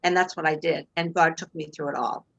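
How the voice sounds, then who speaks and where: A woman's voice: low voice, steady tone, fairly quick, gravelly, a bit bright, highs cut off. Earnest Boston-accented older woman. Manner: earnest, faith-filled storytelling. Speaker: guest. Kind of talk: personal testimony in interview. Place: home room indoors. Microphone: video call webcam.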